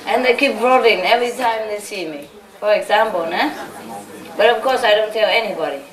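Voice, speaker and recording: A woman's voice: mid-range voice, fairly quick, a bit bright, some chatter behind. Woman, spiritual teacher, informal chatty talk, reverberant lecture hall, audience laughter. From Vietnam. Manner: chatty, laughing, tag questions like "no?".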